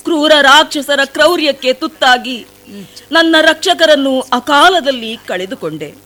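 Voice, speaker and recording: A woman's voice: high-pitched, animated, a little slow, bright, forceful tone, heavily acted. A woman voicing a grieving young forest woman in a devotional radio play, pleading tearfully and dramatically. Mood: sorrowful.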